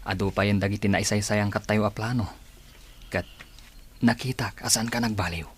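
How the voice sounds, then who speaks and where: A man playing an earnest, urging outlaw in an Ilocano radio drama, speaking deliberately in a husky, breathy voice. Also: fairly low pitch, steady tone, slight background noise, lightly acted. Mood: earnest, tender. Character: earnest male member of an outlaw group, urging and devoted.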